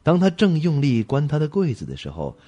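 A man narrating a suspense radio drama in an expressive storytelling style. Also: on the high side, brisk, highs cut off.

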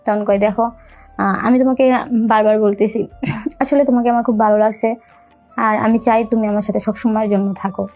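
A young woman with a Bangladeshi accent telling a personal story in a halting, repetitive, colloquial way. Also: mid-range voice, fairly even intonation, darkish tone. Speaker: storyteller recounting own life. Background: music.

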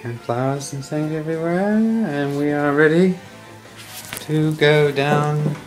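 A man's voice: fairly high pitch, slow pace, soft background music, low-quality recording. A man vlogging his own wedding day with a phone at arm's length, chatty and rambling, his voice a bit worn. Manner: rambling and chatty, many fillers. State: losing his voice. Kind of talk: casual handheld vlog. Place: park outdoors, later busy restaurant. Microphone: phone camera at arm's length. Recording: loud background noise and crowd.

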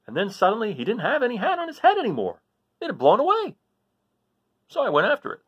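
A man voicing an excitable, boastful farm dog telling a storybook tale in a folksy, dramatic, emphatic way. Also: high voice, quick pace, slightly rough, pitched above the performer's own voice, exaggerated voice. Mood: proud, excited. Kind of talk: animated storybook read-aloud. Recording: dull lo-fi device microphone.

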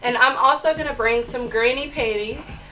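A heavily pregnant young woman co-hosting a casual couple's vlog from a bedroom, talking in a soft, chatty way. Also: fairly high pitch, moderate pace, recorded from a distance, soft background music, low-quality recording. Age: young adult. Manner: soft-spoken, chatty, with light laughs and fillers. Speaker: vlog co-host. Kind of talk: casual chat. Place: bedroom, indoors.

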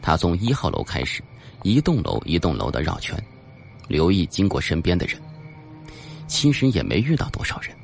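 A man narrating a suspense novel as an audiobook, with a dramatic, deliberate storytelling delivery. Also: deep voice, wildly swooping pitch, vocal fry, soft background music.

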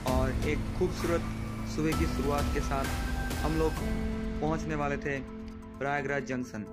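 A man's voice: a little high, moderate pace. A young man narrating a train journey vlog, talking steadily through station times and delays, filmed with a phone held at arm's length on railway platforms. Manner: steady informative delivery full of times and stations. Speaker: train travel vlogger. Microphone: phone camera held at arm's length. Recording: loud background music.

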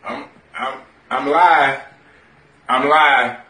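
A man's voice: mid-range voice, fairly even intonation, drawn-out words, sharp tone. A man making a repetitive announcement in a short clip. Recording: echoey, roomy clip.

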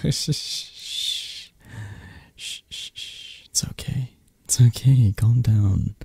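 A man playing a possessive, flirty mafia boss who dotes on his lover, murmuring softly and intimately in an ASMR romantic roleplay, with a breathy, husky, hesitant and reassuring delivery. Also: mid-range voice, slow, no echo, exaggerated voice. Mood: soothing.

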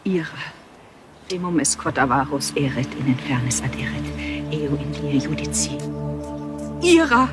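A woman's voice: deep voice, very animated, normal speed, music in the background. An actress playing a stern, pious Mother Superior who speaks in a severe, formal, preaching manner in a TV drama. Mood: reproachful. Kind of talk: TV drama acting.

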